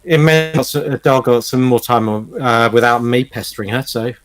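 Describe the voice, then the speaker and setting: A man's voice: mid-range voice, moderate pace, dry recording, soft background music. A man chatting casually as a co-host on a radio show. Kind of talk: casual chat.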